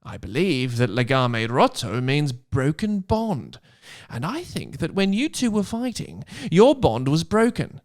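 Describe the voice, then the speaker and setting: An earnest schoolgirl witch, put on by a man, lectures on magic in a comic storybook reading. The voice sits on the high side and moves very freely up and down, with deliberate, emphatic explanations. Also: wildly swooping pitch, darkish tone, exaggerated voice. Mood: earnest, explanatory.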